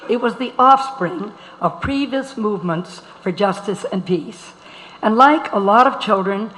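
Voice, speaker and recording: A woman reads a written memoir aloud to be heard across a big hall. Her pitch is average and the room adds a long reverb. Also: a bit bright, slight background noise. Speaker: reader at a live event. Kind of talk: dramatic reading of a memoir. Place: large auditorium.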